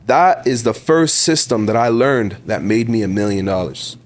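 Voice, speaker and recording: A man's voice: on the low side, lively intonation, moderate pace. A man in his twenties from Ontario with a Toronto accent, a podcast guest talking in an emphatic, motivational way, repeating words for stress and calling the host bro. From Ontario, Canada. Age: 20s. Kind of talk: casual interview talk.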